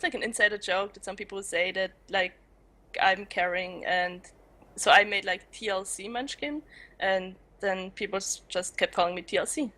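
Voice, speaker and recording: Woman, 20s, from Switzerland. Mid-pitched, giggly, halting guest answers. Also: pushed and bright. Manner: giggly, hesitant, searching for words. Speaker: student and streaming guest. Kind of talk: casual live-stream Q&A.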